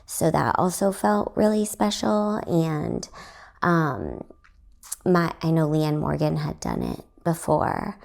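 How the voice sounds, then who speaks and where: A woman's voice: low voice, big pitch swings, slow, gravelly, darkish tone. A young woman from Texas, a comedian hosting her own podcast, telling a story in a rambling way with lots of fillers. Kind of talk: casual solo podcast storytelling.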